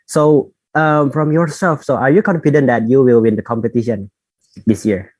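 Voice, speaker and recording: A man's voice: medium pitch, moderate pace, a little mellow, dry recording. Young male student co-host, casual, video call, dry close sound. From Indonesia. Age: young adult. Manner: casual, repeats "okay, okay". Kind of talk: online event hosting.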